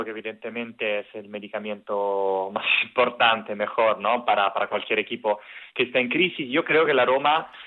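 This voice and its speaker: Male correspondent over a phone line. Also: medium pitch. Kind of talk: phone interview.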